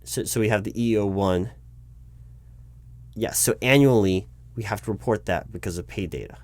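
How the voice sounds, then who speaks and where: An exasperated recruiting manager played by a man in an office roleplay, speaking in a fairly low voice. He explains things insistently and haltingly, often doubling back to repeat himself. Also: darkish tone, natural voice. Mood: patiently explaining. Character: flustered, exasperated male human resources recruiting manager, lecturing his boss. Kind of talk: one-sided improvised audio roleplay, loud spoken.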